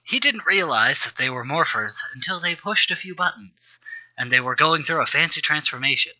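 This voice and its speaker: A man's voice: fairly high pitch, expressive, moderate pace, pushed and bright, poor audio. A man reading his own amateur story aloud as a host, with wry, self-deprecating asides.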